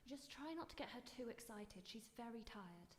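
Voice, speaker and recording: A woman's voice: on the high side, expressive, moderate pace, slight background noise, lightly acted. A woman playing a discreet, kindly hospital nurse in a live radio drama. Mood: hushed, kindly. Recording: echoey hall, quiet and distant.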